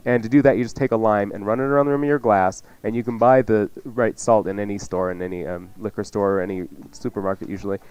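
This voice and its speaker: A man's voice: fairly low pitch, steady tone, fast talker, few pauses, darkish tone, clean tone, muffled recording. A man hosting a cooking show in a casual, chatty, joking way as he explains recipes. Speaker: cooking show host and chef. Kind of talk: casual cooking demonstration chat.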